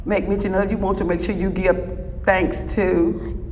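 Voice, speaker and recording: A woman's voice: medium pitch, level tone, normal speed, a little mellow. A woman hosting a talk show in a casual interview style. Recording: reverberant hall, distant, faint noise.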